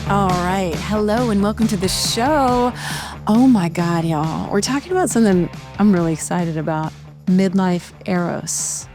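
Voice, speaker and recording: A woman's voice: mid-range voice, animated, measured pace, over music. A woman in her fifties hosting a podcast interview, chatty and effusive in casual conversation. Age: 50s. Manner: effusive, chatty, says "oh my God". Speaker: podcast host.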